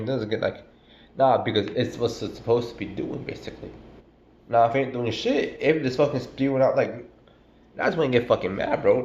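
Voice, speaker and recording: A man's voice: mid-range voice, reverberant, dull audio, slight background noise. A man with a stuffy cold giving a casual, slangy, profane sermon, calling listeners "bro" and "man". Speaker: preacher. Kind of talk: casual street-style sermon.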